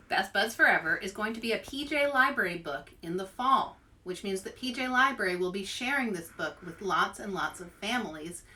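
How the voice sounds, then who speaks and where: Bright woman, a little off the mic. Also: medium pitch, recorded from a distance. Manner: animated, expressive delivery to young listeners. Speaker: children's author and host reading aloud. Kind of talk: children's read-aloud and craft demonstration.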